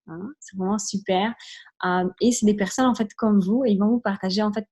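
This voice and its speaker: A woman's voice: medium pitch, mellow, husky. Swiss woman in her twenties, Italian accent. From Switzerland. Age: 20s. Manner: chatty, many fillers and small laughs. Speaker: video conference host. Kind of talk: testimonial presentation. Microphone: video call.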